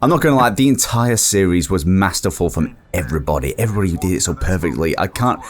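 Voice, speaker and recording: Deep-voiced man, a modest podcast guest. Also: expressive, fast talker. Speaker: voice actor guest. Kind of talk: casual interview chat.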